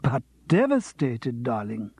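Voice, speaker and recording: A man's voice: mid-range voice, huge pitch swings, slow pace, darkish tone, breathy edge, no echo, heavily acted. A man voicing a gushing, affected theatrical actress who coos and calls everyone darling, in a storybook reading. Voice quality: cooing, affected. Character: gushing theatrical actress, middle-aged woman.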